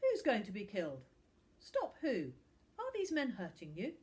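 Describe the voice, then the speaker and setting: A firm young footballer speaks in a measured, deliberate way, as a woman puts him on. He is stern with bullies, yet kind. It is a storybook read aloud at an unhurried pace. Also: mid-range voice, wildly swooping pitch, a little mellow.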